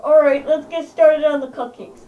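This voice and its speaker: A man's voice: very high voice, steady tone, normal speed, highs cut off. A boy doing a bossy puppet chef. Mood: scolding. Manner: exasperated, scolding.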